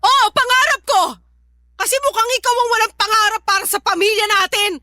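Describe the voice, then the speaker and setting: A woman's voice: very high voice, a little fast, sharp tone, no room sound, clean studio sound. A woman playing an exasperated, sarcastic young working mother scolding her partner in a Filipino radio drama. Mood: angry, exasperated.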